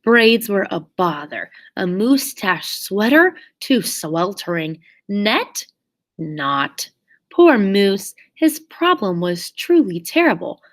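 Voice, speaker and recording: A woman reading a children's story aloud expressively, with theatrical, drawn-out emphasis on key words. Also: mid-range voice. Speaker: storybook reader.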